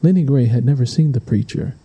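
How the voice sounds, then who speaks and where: A man's voice: mid-range voice, a little fast, dark tone, breathy edge, rough recording. A man reading a scripted, dramatic story as its narrator. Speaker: audiobook narrator.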